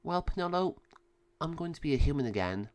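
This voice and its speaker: A sweet young girl from a children's adventure storybook, played by a man. The voice is lifted high and speaks in an earnest, affectionate way. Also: very animated, brisk, a little mellow, no room sound.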